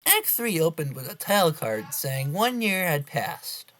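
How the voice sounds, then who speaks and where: Low-voiced woman narrating with lively swings. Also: a little slow. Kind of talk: scripted horror story narration.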